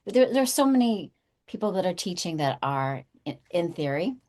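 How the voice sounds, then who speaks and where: A woman's voice: on the low side, sing-song, moderate pace, a little mellow. A woman guest speaking emphatically and interjecting in a casual panel interview.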